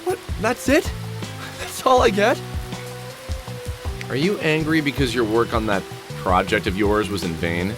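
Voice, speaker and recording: A man's voice: mid-range voice, expressive, measured pace, long pauses, over music, lightly acted. A man playing a weary, skeptical middle-aged protagonist nursing heartbreak in a visual novel game, speaking in a hesitant, conversational way. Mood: puzzled.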